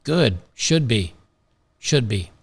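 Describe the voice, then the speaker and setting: Gravelly-voiced man ranting on commentary. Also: mid-range voice, big pitch swings, slow pace, soft background music. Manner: emphatic, repeats phrases, sarcastic. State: slightly losing his voice. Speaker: commentary channel host. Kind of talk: opinionated commentary.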